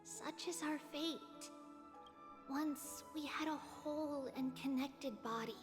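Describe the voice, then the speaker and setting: A gentle, solemn young girl for a video game. The voice is high and very unhurried, wistful and measured. It rings in long reverb with music underneath. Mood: solemn, resigned. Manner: speaks of itself as "we", measured and wistful. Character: gentle solemn childlike girl, a fragmented soul speaking as "we". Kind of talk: scripted video game voice acting.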